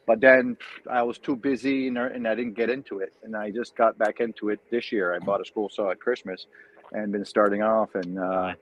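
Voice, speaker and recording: A man's voice: fairly low pitch, steady tone, moderate pace. A man from New Brunswick with a French Acadian accent, chatting hesitantly as a guest on a video call from a tablet, with lots of fillers and restarts. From New Brunswick, Canada. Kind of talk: casual chat on a video call. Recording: slightly dull lo-fi audio.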